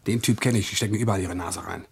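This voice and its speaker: A man's voice: lowish voice, very fast, gravelly, breathy edge. An actor playing a menacing wanted gunman in a German radio crime play, curt and threatening with underlings, deferential to his boss. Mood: menacing, irritated.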